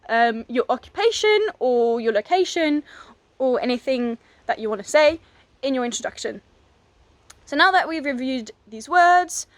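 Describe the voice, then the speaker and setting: A woman's voice: high-pitched, no room sound. A young woman, raised speaking French and English, teaching a beginner French lesson and carefully modeling each word. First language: French and English bilingual. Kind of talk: language lesson.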